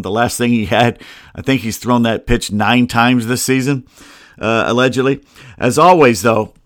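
A man's voice: medium pitch, fairly bright tone, no echo, studio quality. An American man hosting a solo sports show in a casual, grumbling and wry way, with rambling asides. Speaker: sports podcast host. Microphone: close computer microphone.

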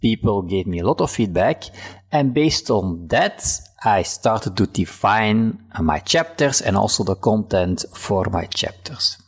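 A man, a Dutch speaker speaking English, hosting his own podcast and telling a personal story in a relaxed, chatty way with fillers and restarts. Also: fairly low pitch, measured pace, breathy edge. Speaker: podcast host. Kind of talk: casual personal storytelling monologue.

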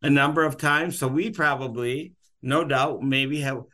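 A man's voice: mid-range voice, fairly even intonation, unhurried, sharp tone. A man hosting a podcast interview, talking in a loose, rambling, unscripted way. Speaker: podcast host. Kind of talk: casual interview chat.

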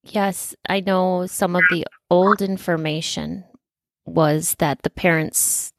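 A woman hosting an interview podcast, asking gentle, empathetic questions. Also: lowish voice, fairly even intonation, slow, creaky, no echo. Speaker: podcast host.

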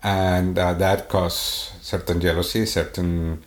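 A man with a Swedish accent speaks in a somewhat low voice, searching for words, with frequent fillers and restarts. The recording has a roomy echo around him. His delivery is unhurried and conversational. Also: flat delivery. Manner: halting, many restarts and fillers. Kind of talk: casual conversation. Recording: echoey room.

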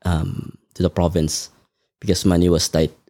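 A man answers questions in a measured, thoughtful way, pausing often to gather his words. His voice is very deep and mellow. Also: level tone, dry recording, studio quality. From Philippines. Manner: measured, thoughtful, frequent ums. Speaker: podcast guest. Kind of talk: conversational interview.

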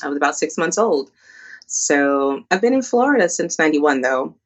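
An upbeat woman tells her story as an interview guest. She talks with quick asides, and her voice comes through slightly muffled. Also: low voice, expressive, breathy edge. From born in Colombia, raised in New Jersey. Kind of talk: casual interview conversation.

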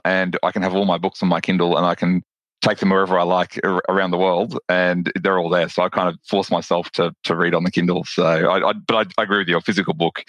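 A man's voice: deep voice, a bit bright, no echo. An Australian man, a lawyer and business owner, talking as a podcast guest with enthusiasm, often restarting and repeating words. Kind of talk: casual interview chat.

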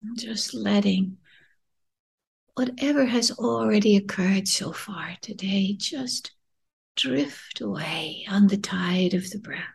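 A woman leading a guided meditation in a gentle, soothing, breathy voice that often drops near a whisper, unhurried with long pauses. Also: medium pitch. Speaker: podcast host and meditation guide.

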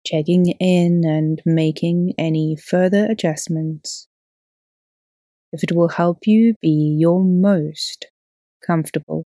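A woman yoga teacher leading a guided relaxation in a soothing, unhurried, gently instructing way. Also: on the low side, fairly even intonation, no echo, clean studio sound. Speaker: yoga teacher and podcast host. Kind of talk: guided relaxation meditation narration.

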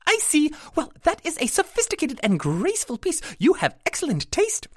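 A woman voicing a tiny, perky female winged shopkeeper who speaks with a high, squeaky voice, stammers when flustered and uses ornate words. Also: huge pitch swings, moderate pace, no room sound, studio quality.

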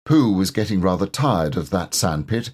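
A man narrates a children's story from a script. His voice sits fairly low and moves expressively, with a slight huskiness. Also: animated, moderate pace, a little mellow. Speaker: storybook reader, voice actor.

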